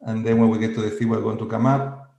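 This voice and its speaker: Brief: man, coach on a video call, slow gentle guidance, roomy sound. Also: lowish voice, level tone, gravelly. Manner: slow, gentle, inviting instructions. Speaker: coach and conference presenter. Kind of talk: guided centering exercise.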